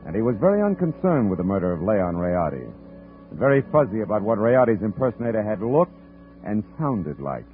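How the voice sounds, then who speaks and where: A wisecracking hard-boiled private eye. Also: male voice, on the low side, big pitch swings, fairly quick. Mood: narrating. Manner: dry, wisecracking. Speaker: private detective narrator. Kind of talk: 1950s radio drama acting. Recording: tinny old radio broadcast, faint music.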